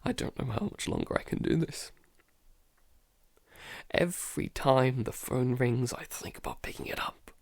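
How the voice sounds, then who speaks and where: A man playing a paranoid, isolated man in an audio drama, halting and stammering, often sighing and dropping into whispers. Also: mid-range voice, huge pitch swings, vocal fry, dry recording, heavily acted. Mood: paranoid, despairing. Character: paranoid isolated man unravelling under a creeping unseen menace. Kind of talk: audio drama monologue, fragmented confessional.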